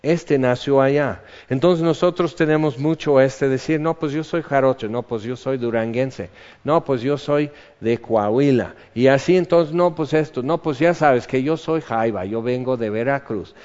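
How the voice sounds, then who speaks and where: A man's voice: mid-range voice, moderate pace, darkish tone. A man preaching a sermon in a conversational way, reading psalm verses aloud. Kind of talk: sermon, Bible teaching. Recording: dull reverberant hall recording.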